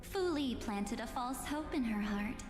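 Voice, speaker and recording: A woman playing a calm, mocking, enigmatic game character, speaking in a deliberate, condescending and theatrical way. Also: a little high. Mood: grave, scornful. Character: calm, mocking, enigmatic woman revealing cruel cosmic truths. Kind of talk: theatrical scripted game dialogue. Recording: echoey cavernous hall effect, music behind.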